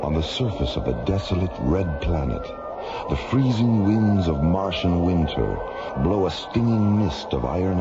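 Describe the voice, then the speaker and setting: A man narrating an old-time science fiction radio drama in a measured, portentous, dramatic style. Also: sits low, gravelly. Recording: dull old radio recording, loud music behind.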